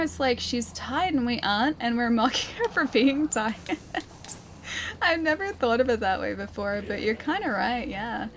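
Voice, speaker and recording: A woman's voice: on the high side, fairly bright tone, a little hiss or hum. A woman livestreamer chatting casually while playing a game, reading viewer comments aloud and giggling often. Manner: chatty and giggly, with many fillers like "like" and "dude".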